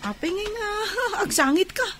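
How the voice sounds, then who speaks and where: An actress playing a whiny, defiant and emotional young runaway daughter in a radio drama. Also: female voice, extremely high pitch, lively intonation, normal speed, fairly bright tone. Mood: worried. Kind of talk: Filipino radio drama acting. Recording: music behind the voice.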